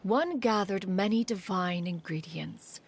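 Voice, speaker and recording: A woman's voice: medium pitch, lively intonation, a little slow, husky. A woman voicing an ancient, proud female crane immortal in a video game, speaking in formal, stately, archaic phrases like a dignified maternal master. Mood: grave, reflective. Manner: formal, archaic, stately, refers to self as "one". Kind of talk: scripted video game voice acting.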